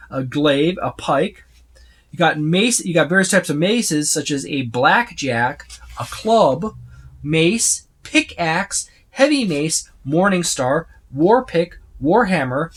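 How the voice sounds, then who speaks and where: A man's voice: a little high, a bit bright. A man giving a casual, unscripted walkthrough of a book, rambling and often listing items one by one. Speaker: tabletop gaming reviewer.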